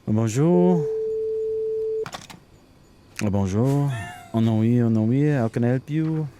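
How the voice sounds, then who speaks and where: French accent tech support bloke, tired and exasperated, comic audio play, slight background noise. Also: male voice, mid-range voice, lively intonation, takes it slowly. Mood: flustered greeting. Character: weary French office computer helpline operator. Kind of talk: comic audio drama acting.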